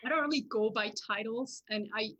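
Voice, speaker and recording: A woman's voice: fairly high pitch, a little fast, a bit bright. A woman from Switzerland, a podcast guest, chatting casually and laughing often over a video call. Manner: laughs often, talkative, thinks aloud. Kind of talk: casual chat.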